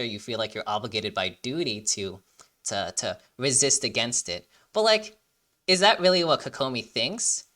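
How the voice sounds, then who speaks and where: A man's voice: medium pitch, sharp tone. A young man giving casual, rambling, emphatic commentary as a reaction video host.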